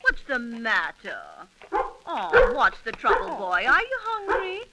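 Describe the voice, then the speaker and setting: An actress playing a chatty, kindly, excitable woman in a 1930s radio drama. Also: high voice, takes it slowly, full character voice. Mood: astonished, tender. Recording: noisy 1930s radio recording.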